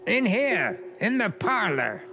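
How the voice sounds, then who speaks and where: Sinister taunting man, gravelly creak, slow swooping delivery, radio drama, music behind. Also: high voice, big pitch swings, bright, forceful tone, a little hiss or hum, full character voice. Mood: sly menace. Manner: deliberate, taunting. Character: menacing, sly brother-in-law toying with his victim. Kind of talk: radio play acting.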